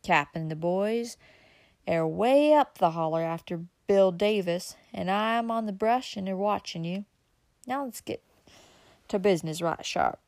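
A woman voicing a rough, superstitious male moonshiner in an audiobook, with a drawl and hoarse whispering. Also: sits high, a little slow, darkish tone, no echo. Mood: easy, businesslike. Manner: drawling backwoods dialect, hoarse frightened whispers. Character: rough old mountain moonshiner, superstitious and loyal.

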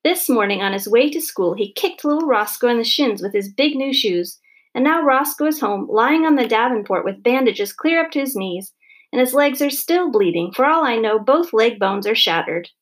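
Lady reader doing an angry mom from a kids storybook, shrill and indignant, high voice, really scolding. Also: expressive, moderate pace, hardly any pauses, slightly rough. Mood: indignant, cold. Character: furious, accusing mother of a small boy.

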